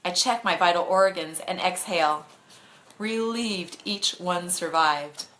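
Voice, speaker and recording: A woman's voice: fairly low pitch, a little slow, a bit bright, slight background noise, low-quality recording. A woman reading a bedtime story expressively to online viewers, as its narrator.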